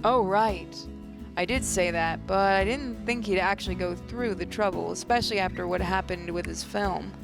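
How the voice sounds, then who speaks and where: A woman game host reading visual novel narration aloud in a measured way, occasionally stumbling. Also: medium pitch, vocal fry. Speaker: let's play host. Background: music.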